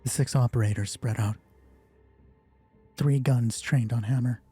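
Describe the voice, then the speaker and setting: A man's voice: mid-range voice, steady tone, a little slow, dark tone, breathy edge, faint music behind. A man narrating a solo tabletop roleplay as game master, informal and moving between dramatic storytelling and thinking aloud.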